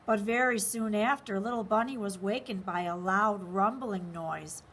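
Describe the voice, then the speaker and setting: A woman's voice: mid-range voice, normal speed, a bit bright, slight background noise. A woman reading a children's picture book aloud expressively, speaking directly to young listeners. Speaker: story reader.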